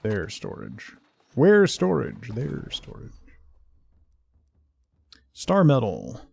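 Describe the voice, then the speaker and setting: Gravelly, mellow middle-aged man streaming. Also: mid-range voice, wildly swooping pitch, drawn-out words. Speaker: game streamer. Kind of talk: casual chat while playing a game, replying to viewers.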